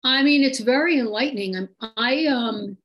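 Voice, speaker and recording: A woman's voice: on the high side, normal speed, fairly bright tone. A woman taking part in a workshop discussion, speaking hesitantly and searching for her words. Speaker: workshop participant. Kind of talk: sharing in a group discussion.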